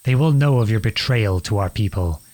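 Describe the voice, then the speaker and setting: A man playing a defiant young outlawed prince in a dramatic audio roleplay, speaking earnestly and deliberately in a husky voice. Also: on the low side, expressive, a little mellow, close to an everyday voice. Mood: bitter, defiant. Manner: earnest, deliberate, pleading and accusing. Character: defiant outlawed young prince confronting his cruel king father.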